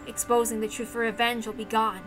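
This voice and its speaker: A woman's voice: on the high side, little pitch movement, fairly quick, over music, lightly acted, poor audio. A woman reading the brooding, intense monologue of a bitter, weary young woman obsessed with revenge in a visual novel. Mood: bitter, resigned.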